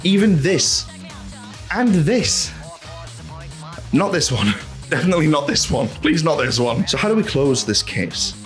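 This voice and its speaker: Animated, sweary male video essayist, higher-pitched voice. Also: a little slow, pauses often, faint music behind. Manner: animated ranting, sweary, rhetorical questions. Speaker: host of a video essay channel. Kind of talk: casual opinionated video essay commentary.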